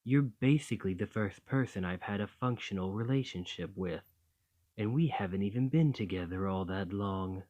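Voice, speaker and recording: A man voicing a gentle, bashful dragon in a romantic ASMR roleplay, tender and hesitant, doting on a partner. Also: lowish voice, moderate pace, muffled recording, natural voice. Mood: flustered, embarrassed. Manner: flustered, hesitant, tender, with sighs and stammers.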